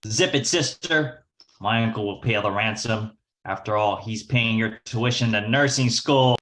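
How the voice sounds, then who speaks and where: Guy doing tough young kidnapper punk from an old radio show, slangy, sharp forceful voice. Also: lowish voice, a little slow. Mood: gruff impatience. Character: cocky young small-time hoodlum kidnapper, slangy, menacing. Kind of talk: amateur 1940s radio crime acting.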